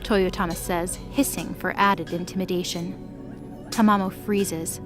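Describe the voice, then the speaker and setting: A woman's voice: on the low side, fairly even intonation, measured pace, over music. A woman reading scripted narration for a dramatic mythological story.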